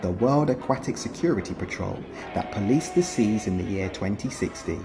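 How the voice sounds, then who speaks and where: Lively male narrator over music. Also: lowish voice, moderate pace. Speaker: narrator of a countdown video. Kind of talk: scripted documentary narration.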